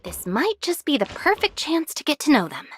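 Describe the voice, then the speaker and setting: A woman's voice: sits high, very animated, normal speed. A woman voicing a naive, curious young girl in scripted anime game dialogue.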